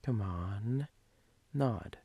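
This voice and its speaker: A man playing a sleepy, teasing, doting boyfriend in an ASMR role-play, husky and breathy, often dropping to a whisper in an intimate, halting way. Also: lowish voice, lively intonation, mellow, no echo, exaggerated voice.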